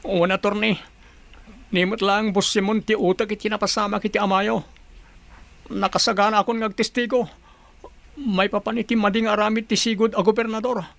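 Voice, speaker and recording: A woman playing a wounded, pleading hired assassin with an Ilocano accent in a radio drama, her voice creaky and strained. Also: mid-range voice, flat delivery, moderate pace, pauses often, natural voice. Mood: remorseful. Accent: Filipino, Ilocano.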